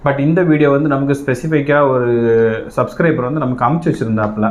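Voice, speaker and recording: A man's voice: medium pitch, fast, darkish tone. A man chatting casually to viewers as the host of a reaction channel. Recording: dull, roomy, faint background noise.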